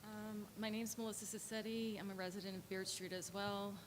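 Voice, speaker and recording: A soft-spoken woman, a local resident and architect, giving earnest public testimony at a hearing, explaining with technical terms and many fillers. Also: mid-range voice, monotone, a little fast. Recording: echoey hall, faint noise.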